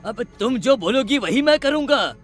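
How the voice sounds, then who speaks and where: A man's voice: extremely high pitch, lively intonation, normal speed, soft background music. A man playing panicked, stammering village men who wail and beg in a dramatized story. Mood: terrified. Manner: wailing, stammering. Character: panicked, whimpering village man begging helplessly.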